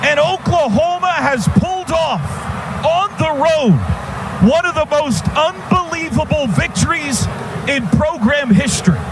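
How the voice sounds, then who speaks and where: A man calling live football on radio as the play-by-play announcer, in clipped phrases that build to shouts on big plays, over loud stadium noise. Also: extremely high pitch, lively intonation, a little slow. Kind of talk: excited live football commentary. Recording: noisy stadium radio broadcast.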